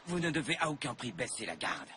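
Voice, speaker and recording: An actor playing a solemn, proud, cautioning mentor in a dubbed animated series. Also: male voice, medium pitch, a little fast. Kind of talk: animated series dub acting. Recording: noisy background behind the voice.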